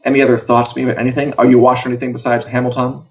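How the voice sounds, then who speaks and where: A man's voice: mid-range voice, flat delivery, racing. Wry man chatting, dull lo-fi audio. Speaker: co-host of an entertainment live show. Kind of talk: casual entertainment chat.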